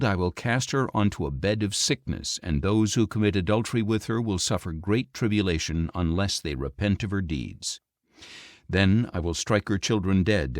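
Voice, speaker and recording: Man, low-pitched voice, expressive rise and fall, solemn scripture narration, measured delivery, dry close sound. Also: no room sound. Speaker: audiobook narrator reading scripture. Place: dry recording studio.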